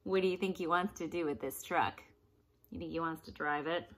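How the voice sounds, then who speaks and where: A woman in her thirties reading a picture book to children at home, expressive and asking them questions. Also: low-pitched, brisk, slight background noise. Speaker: art teacher reading aloud. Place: small room at home.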